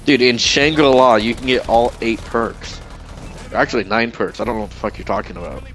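A man's voice: mid-range voice, fairly quick. A young man hosting a live shooter game session, bantering crudely and teasing his friend through a headset. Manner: brash, swearing, teasing. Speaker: channel host playing online shooter. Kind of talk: crude casual gaming chat. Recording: game music and gunfire behind.

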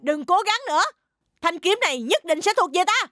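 A woman's voice: very high voice, animated, fairly quick, very noisy. A woman voicing a proud, stubborn noble lady general in a cartoon dub, speaking imperiously. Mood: insistent. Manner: imperious, declarative. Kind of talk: animated motion comic dub.

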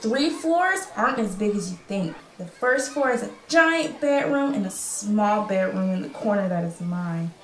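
A woman's voice: medium pitch, expressive, measured pace, hardly any pauses, natural voice. A woman playing a young, candid, chatty refugee girl in a radio play. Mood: matter-of-fact. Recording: echoey room with faint noise.

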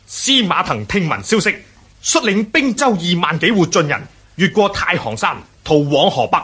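An actor playing an eager, dutiful warrior son reporting formally in a historical radio drama, with a Hong Kong Cantonese accent. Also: male voice, high voice, very animated, moderate pace, sharp tone, slightly rough, exaggerated voice. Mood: proudly reporting.

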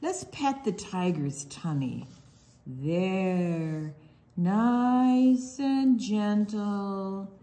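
Animated, unhurried woman reading to children. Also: mid-range voice. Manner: expressive, drawn-out words, hushed asides. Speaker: children's storytime reader. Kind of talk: animated picture-book read-aloud to young children.

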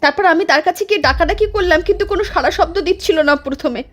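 A woman voicing a frightened village wife who talks in an anxious, shrill way. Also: very fast. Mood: shaken. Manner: shrill, anxious, cries out and pleads. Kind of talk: dramatized storytelling.

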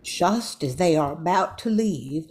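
A woman's voice: low-pitched, lively intonation, measured pace, darkish tone, slightly rough. An older woman reading scripted narration for an amateur radio drama.

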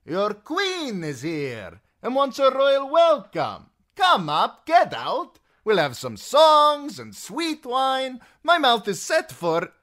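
A man voicing a boisterous, merry young man calling out loudly and jovially in an audiobook. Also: very high voice, huge pitch swings, slow, few pauses, voice pushed up, exaggerated voice. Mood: jovial, hailing.

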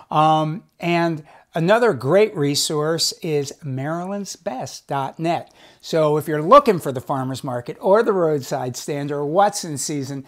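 Cooking show host, chatty, enthusiastic, folksy asides, home kitchen demo. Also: mid-range voice. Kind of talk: casual cooking demonstration.

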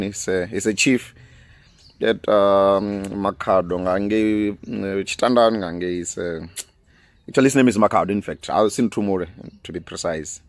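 A man in his thirties with a Venda South African accent chats easily on his own podcast, his voice sitting a little low. He laughs often and lets sentences fade out softly. The sound is dry and close, from a big studio mic outdoors. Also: dry recording. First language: Tshivenda. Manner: laughs often, easygoing, trails off quietly. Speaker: podcast host. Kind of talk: casual solo podcast chat with phone call. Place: outdoors in a sunny garden. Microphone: large studio mic close up.

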